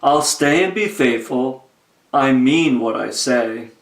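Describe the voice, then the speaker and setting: A man voicing a huge, gentle, faithful elephant from a storybook, with an earnest, deliberate manner. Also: mid-range voice, vocal fry, roomy sound. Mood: earnest, resigned.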